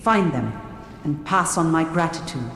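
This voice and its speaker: A woman's voice: low voice, expressive, unhurried, a bit bright, soft background music, heavily acted. A woman voicing an earnest, grateful survivor with dramatic delivery in a fantasy video game. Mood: earnest, pleading. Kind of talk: video game quest dialogue. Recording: echoey reverb with faint noise.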